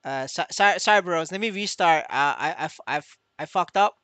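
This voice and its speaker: A man's voice: a little high, a little fast, sharp tone, dry recording. A man livestreaming as a gamer in casual chat, stammering, repeating his words and swearing freely. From Vietnamese. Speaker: game streamer.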